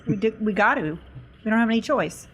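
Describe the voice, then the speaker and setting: A woman's voice: a little high, fairly quick, slight background noise. A woman chatting casually as a player in a tabletop role-playing game.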